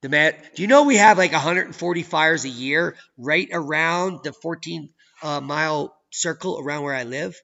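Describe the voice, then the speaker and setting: A man hosting a channel, reading news and weather maps with sarcastic, profane commentary that swings from low mutters to loud outbursts. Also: normal speed, vocal fry, hardly any pauses, highs cut off. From United States. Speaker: channel host.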